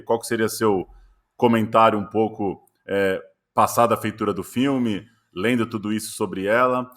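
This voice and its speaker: Low-voiced Brazilian man hosting a podcast. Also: fairly bright tone. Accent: Brazilian Portuguese. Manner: measured, long winding questions. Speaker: podcast host. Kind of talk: podcast interview questions.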